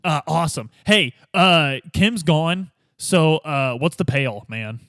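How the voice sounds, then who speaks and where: A man's voice: on the high side, lively intonation, takes it slowly, fairly bright tone, no echo, studio quality. A man playing a tabletop roleplaying game with casual, sarcastic banter, slipping half into character.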